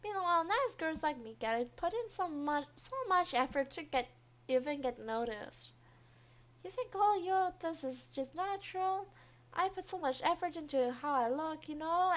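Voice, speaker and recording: A woman's voice: high-pitched, animated, normal speed, pitched above the performer's own voice. A woman voicing a brash, jealous young female coworker in a visual novel, grating, slangy and confrontational. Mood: jealous, indignant. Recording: dull, lo-fi microphone.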